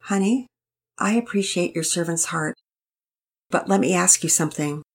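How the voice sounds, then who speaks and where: A gentle, earnest mother giving calm counsel, read by a woman. The voice is low-pitched and a little husky, and it speaks steadily. It has a patient, guiding manner. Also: normal speed. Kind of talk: storybook reading.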